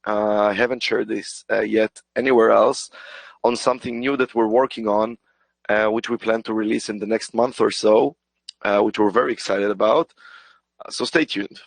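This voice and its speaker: A man's voice: fairly low pitch, fairly even intonation, moderate pace, creaky, dry recording. A man presenting a webinar, explaining product tools with many hesitations and fillers, while losing his voice. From Israel. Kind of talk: presentation walkthrough.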